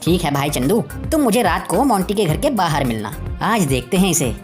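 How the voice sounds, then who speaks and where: A woman voicing a naive, eager village man in an animated story, exclamatory and deferential to a friend. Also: on the low side, moderate pace. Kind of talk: animated Hindi moral story dialogue. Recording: music behind the voice.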